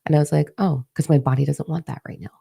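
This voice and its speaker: Hoarse woman, candid and chatty. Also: deep voice, steady tone, quick pace, dark tone. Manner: candid, swears freely, chatty and confiding. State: hoarse, partly lost voice. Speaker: spiritual teacher. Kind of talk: casual spiritual talk to followers.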